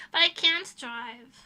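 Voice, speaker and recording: Very bright, high-pitched woman streamer rambling casually. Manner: rambling, blunt, casual swearing. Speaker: livestreamer, illustrator. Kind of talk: casual livestream chat, reading and answering chat messages.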